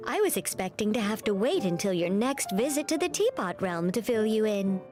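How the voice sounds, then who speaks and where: An actress voicing a polite, scholarly young woman in a video game, speaking in formal, measured phrases. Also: mid-range voice, big pitch swings, close to an everyday voice. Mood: helpful, courteous. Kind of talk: scripted video game dialogue. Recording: game audio with music underneath. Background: game music.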